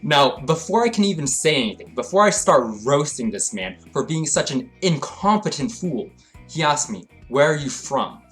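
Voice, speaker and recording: A young man narrating a comedic storytime, swinging between hyped rants and low deadpan asides. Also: moderate pace, faint music behind. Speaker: storytelling vlogger.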